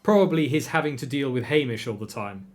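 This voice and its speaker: A man's voice: medium pitch, fairly quick, close to an everyday voice. A man reading a weary young sports captain. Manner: tired, flatly explaining.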